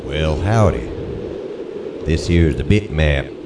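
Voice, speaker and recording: A man's voice: very deep voice, expressive, slow pace, very noisy. A man hosting a church music show, giving an easygoing, folksy rundown of the hymns and chants used at recent Masses. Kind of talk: casual spoken rundown of liturgical music.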